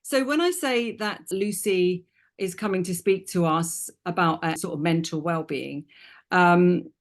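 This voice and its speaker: A woman's voice: lowish voice, measured pace. A woman hosting an interview, who welcomes her guest in a friendly, enthusiastic way with many hesitations. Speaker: host. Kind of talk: casual interview.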